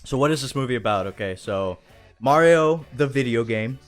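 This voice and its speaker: A man's voice: mid-range voice, animated, moderate pace. Enthusiastic rambling man hosting, faint music behind. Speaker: show host. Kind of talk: casual livestream chat.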